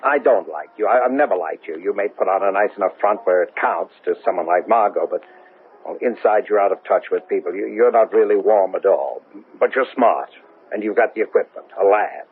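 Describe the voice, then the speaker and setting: An actor playing an earnest, anxious country doctor in a 1970s radio drama, speaking haltingly and repeating words. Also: male voice, on the low side, natural voice. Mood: earnest, confrontational. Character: earnest, anxious country doctor confronting a scientist, loyal friend. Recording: tinny, noisy 1970s radio broadcast.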